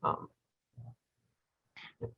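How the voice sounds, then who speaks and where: A man's voice: very slow, narrow, tinny audio. A man from the audience asking a question hesitantly, stammering and filling gaps, over a video call.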